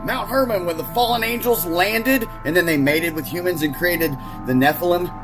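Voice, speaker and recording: A man giving casual, exclamatory social media commentary, often with mock questions. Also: a little high. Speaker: video commentator.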